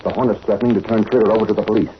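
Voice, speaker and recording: A man's voice: lowish voice, fairly even intonation, racing, close to an everyday voice. An actor playing a crooked, rattled man being blackmailed in an old radio crime drama. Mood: agitated. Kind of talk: 1930s-40s radio crime drama acting. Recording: muffled, noisy old radio recording.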